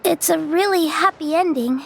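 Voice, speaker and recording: Very high-voiced, shy little girl. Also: moderate pace, gravelly, fairly bright tone, heavily acted. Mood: earnest. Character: polite, shy little girl, a young daughter. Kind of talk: video game voice acting.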